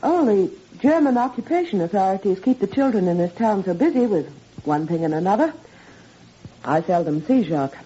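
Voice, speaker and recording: An actress playing an anxious, gentle mother and wife who tries to keep peace, in 1940s radio style. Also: medium pitch, expressive, husky. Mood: tender, weary. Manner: fretful, hurried, soothing. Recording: muffled, noisy 1940s radio recording.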